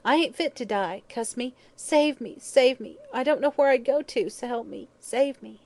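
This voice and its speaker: A woman voicing a dying old hag in an audiobook, shrill and wailing, greedy and terrified, with rough uneducated speech. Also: normal speed, darkish tone, lightly acted. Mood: terrified, pleading.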